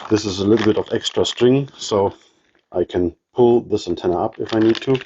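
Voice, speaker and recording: A man with a German accent showing his radio gear in a casual walkthrough, halting with many ums and restarts. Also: lowish voice, highs cut off, noisy background. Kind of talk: casual show-and-tell walkthrough.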